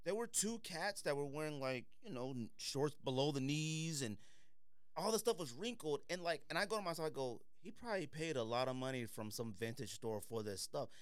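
A man with an American accent and a fairly high voice that moves around a lot in pitch. He hosts a casual podcast, teasing and slangy, recorded close and dry. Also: animated, brisk, clean tone, dry recording. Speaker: podcast host. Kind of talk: casual panel banter.

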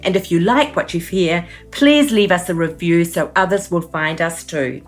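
A woman's voice: lowish voice, moderate pace. Woman podcast host, faint music behind. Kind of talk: scripted intro and interview questions.

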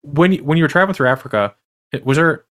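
A man's voice: on the high side, lively intonation, quick pace, fairly bright tone. A man hosting a podcast, asking rambling questions full of restarts and fillers. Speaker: podcast host. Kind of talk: casual interview chat.

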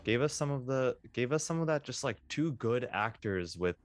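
A man co-hosting a casual podcast chat, talking in a measured, deliberate way. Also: medium pitch, expressive, dry recording. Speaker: podcast co-host.

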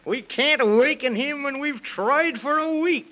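High-voiced, fretful Man in the Moon. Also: a little slow, sharp tone, dull audio, exaggerated voice. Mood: worried. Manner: fussy exclamations, pleading in rhyme. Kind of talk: 1930s children's radio serial acting.

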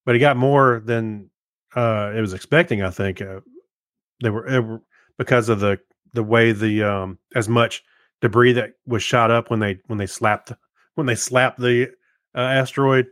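A man's voice: medium pitch, steady tone, normal speed, fairly bright tone, dry recording, clean studio sound. A man co-hosting a podcast in casual chat, with loose, hesitant phrasing that tends to trail off. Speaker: podcast co-host.

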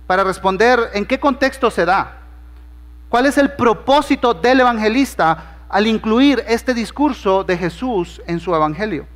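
A man's voice: high voice, a bit bright, big-room sound. A man with a Honduran Spanish accent preaching a sermon as pastor, conversational and humorous, acting out bits of dialogue in his stories. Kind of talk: sermon with storytelling.

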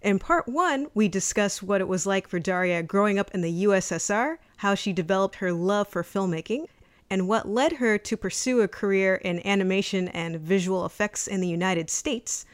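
A woman's voice: mid-range voice, moderate pace. A woman hosting an interview podcast, reading a friendly intro and then chatting with her guest. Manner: upbeat, friendly scripted intro. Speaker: podcast host.

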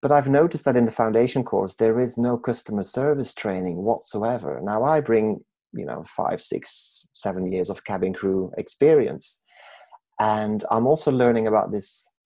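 A man in his forties from Belgium, telling his own story as a podcast guest in a reflective, measured way with some self-corrections. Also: lowish voice, dark tone, no room sound. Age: 40s. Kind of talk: reflective personal storytelling in an interview.

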